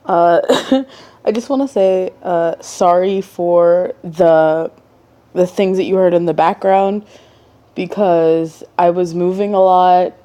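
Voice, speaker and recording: A woman's voice: lowish voice, steady tone, takes it slowly, warm tone, clear, resonant tone. A woman, the podcast's editor, recording a hesitant, apologetic note on her phone mic.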